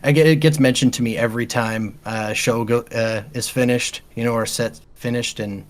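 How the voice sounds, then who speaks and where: A man chatting casually as an interview guest, quiet and calm in his delivery. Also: medium pitch, a little fast. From Canada. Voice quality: quiet speaking voice. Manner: calm, easygoing. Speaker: metal band vocalist, podcast guest.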